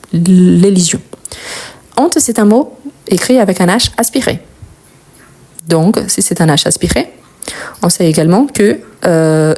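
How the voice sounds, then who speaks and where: A young woman from Brazil, a native Portuguese speaker, teaching French grammar as a teacher and slowly repeating example words for her learners. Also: fairly low pitch, mellow.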